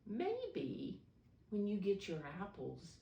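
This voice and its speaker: A woman reading a picture book aloud to small children, pausing to ask simple questions and explain. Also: mid-range voice, huge pitch swings, gravelly, warm tone, slight background noise. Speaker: preschool storybook reader, teacher figure. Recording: roomy echo, distant mic.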